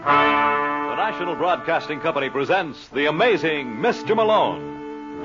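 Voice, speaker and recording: Animated American radio announcer over music. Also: male voice, mid-range voice. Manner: grand, dramatic. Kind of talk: 1950s radio drama announcing, scripted. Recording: dull old radio recording.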